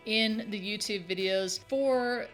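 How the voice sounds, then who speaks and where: A woman's voice: mid-range voice, takes it slowly, a bit bright, soft background music. A woman in her thirties hosting a game tutorial channel, answering viewer questions in a chatty, upbeat way. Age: 30s. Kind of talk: casual Q&A chat.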